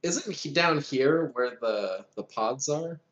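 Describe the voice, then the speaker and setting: A man's voice: medium pitch, lively intonation, slow, a bit bright, muffled recording, slight background noise. A man chatting casually as a player in a tabletop game. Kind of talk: casual chat during a game. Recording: roomy, echoey voice-call mic.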